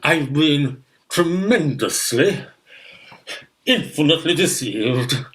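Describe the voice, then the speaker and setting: Man acting a pompous older gentleman, slow and swooping. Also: on the high side, expressive. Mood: outraged. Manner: pompous, florid, orotund. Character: pompous, gushing older guardian uncle, quick to outrage. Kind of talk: theatrical radio farce acting.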